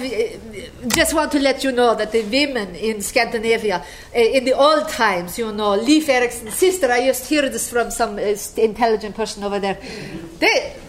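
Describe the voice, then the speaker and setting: A woman's voice: on the high side, a bit bright. A woman with an Icelandic accent telling rambling stories about a friend to a gathering, chuckling often as she talks. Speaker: speaker sharing memories of a friend. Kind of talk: casual storytelling to a gathering.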